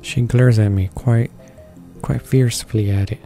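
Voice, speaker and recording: A man's voice: medium pitch, steady tone, unhurried, dark tone. A man reading visual novel narration aloud for his gaming channel, stumbling over words as he goes. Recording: faint music behind the voice. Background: game music.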